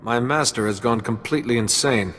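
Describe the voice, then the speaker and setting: A man's voice: medium pitch, a little fast, a bit bright, long reverb tail, soft background music, natural voice. A man voicing an earnest, determined Jedi apprentice in a video game, sincere and formal.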